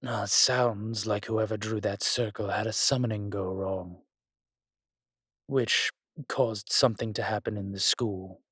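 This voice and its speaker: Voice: mid-range voice, steady tone, a little slow, a bit bright, husky, dry recording, studio quality. An actor playing an ancient, powerful spirit, sardonic, proud and condescending, in an audio roleplay drama.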